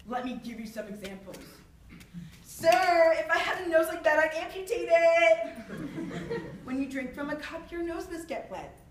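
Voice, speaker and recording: A woman's voice: high voice, a little hiss or hum, lightly acted. A young woman playing a proud, witty, mocking swordsman poet in a theatrical monologue, haughty and taunting as she declaims. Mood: indignant, haughty. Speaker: student actor. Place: echoey room, far from the microphone. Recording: roomy echo, distant microphone.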